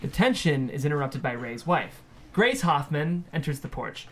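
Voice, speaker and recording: Higher-pitched man reading screenplay directions. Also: very animated, normal speed, a bit bright. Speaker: stage-direction narrator at a table read. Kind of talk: scripted narration of screenplay directions.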